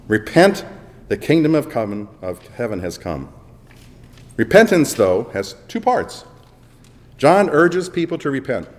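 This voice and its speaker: A man preaching a sermon with dramatic swings between booming emphasis and hushed asides, in a church. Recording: long echo of a large hall.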